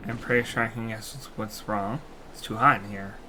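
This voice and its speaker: A man giving relaxed, casual commentary while playing a game, musing to himself. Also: lowish voice, a little fast, poor audio. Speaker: let's-play streamer. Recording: game music behind.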